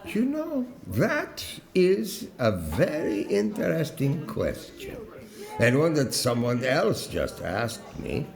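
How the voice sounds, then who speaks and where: A man playing an eccentric, rambling alien ambassador in a science fiction audio drama, with a gravelly, halting, theatrical delivery. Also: mid-range voice, wildly swooping pitch, crowd noise, full character voice. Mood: evasive, musing. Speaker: voice actor.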